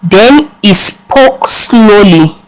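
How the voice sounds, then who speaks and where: Woman, folktale narrator, very slow delivery, mid-pitched, solemn, measured, scripted storytelling. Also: lively intonation, slight background noise, poor audio.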